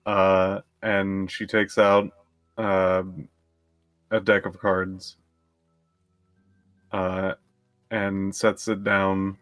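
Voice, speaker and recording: A man playing in a tabletop role-playing game, speaking in a measured, calm way with hesitant pauses. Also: deep voice, clean tone, no echo, soft background music. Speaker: player.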